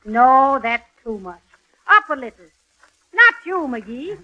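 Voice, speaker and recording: An actress playing a bossy, exasperated wife who nags in colloquial speech, in 1930s radio comedy style on a crackly old recording. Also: female voice, high voice, big pitch swings, slow pace, dull audio, full character voice. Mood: bossy, impatient. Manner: sharp, nagging, colloquial.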